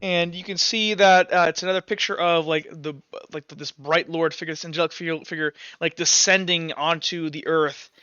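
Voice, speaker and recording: A man acting as game master, improvising tabletop narration in halting descriptions full of fillers and repeats. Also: a little high, steady tone, sharp tone, clean tone, dry recording. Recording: muffled lo-fi mic with music. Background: Latin chant music.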